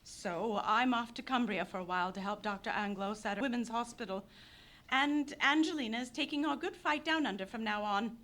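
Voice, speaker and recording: A woman's voice: on the high side, brisk, fairly bright tone, a little hiss or hum, natural voice. An actress in a live radio play playing an impassioned young pacifist Englishwoman who speaks in declamatory bursts. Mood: somber. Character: passionate pacifist young Englishwoman, fiery idealist.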